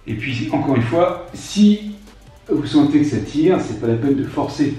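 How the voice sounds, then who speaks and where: A man in his fifties leads a home strength workout in a soft, mellow voice, gently reassuring listeners and pausing with hesitations. He sounds distant from the microphone in a strongly echoing room, with faint music underneath. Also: a little high, expressive. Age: 50s. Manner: gentle, reassuring, repeats advice not to strain, frequent hesitations. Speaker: life coach leading a strength workout. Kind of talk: exercise instruction video. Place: room at home with an exercise mat. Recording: very echoey room, voice far from mic.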